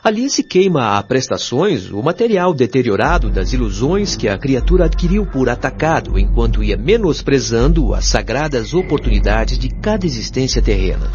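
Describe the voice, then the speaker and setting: A man's voice: medium pitch, sing-song, moderate pace, few pauses, a little mellow, natural voice. A Brazilian man playing a patient, authoritative spirit guide in an audio drama, explaining things in a solemn, articulate, didactic way. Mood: earnest, instructive. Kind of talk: audio drama acting, expository dialogue. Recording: dull lo-fi audio over music.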